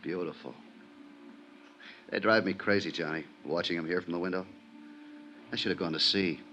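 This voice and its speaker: A man acting a weary, level-headed American police inspector in a 1950s radio drama, measured and reflective. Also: deep voice, fairly even intonation, sharp tone. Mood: wistful, weary. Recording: noisy 1950s radio recording.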